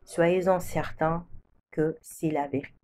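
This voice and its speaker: Woman, from Congo, prophetic preacher, solemn repeated declarations, mellow tone, unhurried. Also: low voice, steady tone, slight background noise. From Democratic Republic of the Congo. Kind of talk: religious prophetic testimony.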